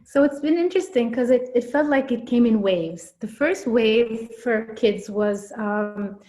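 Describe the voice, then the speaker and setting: A woman's voice: fairly high pitch, a little slow, warm tone. Woman from morocco chatting as a guest coach, lots of ums and repeats. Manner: thoughtful, with fillers and repeated words. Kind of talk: casual interview conversation.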